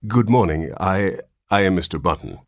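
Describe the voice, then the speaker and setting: A man voicing a nervous, frantic Southern gentleman awaiting news of his newborn, in a high, panicky, pleading voice. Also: lively intonation, normal speed, dry recording, studio quality. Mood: desperate.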